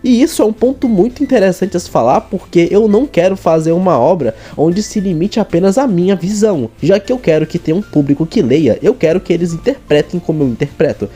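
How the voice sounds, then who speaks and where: A man's voice: sits high, lively intonation, a little fast, few pauses, dark tone, husky, soft background music. A young Brazilian man chatting casually to his viewers in an earnest, informal way. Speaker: vlogger and amateur writer.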